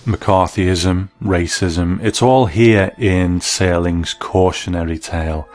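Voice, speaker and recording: A man's voice: deep voice, fairly even intonation, slow pace, a little mellow. A man presenting a review in scripted commentary. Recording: faint music behind the voice.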